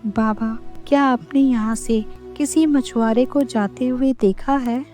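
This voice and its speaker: A woman voicing an earnest, worried, devoted fisherman's wife and mother in an animated moral story. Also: fairly high pitch, takes it slowly, soft background music. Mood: anxious.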